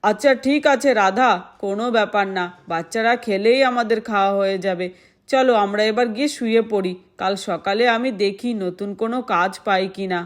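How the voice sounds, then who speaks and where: A woman's voice: mid-range voice, fairly quick, natural voice. A woman voicing a poor, apologetic village father in a doll-play story, earnest and reassuring.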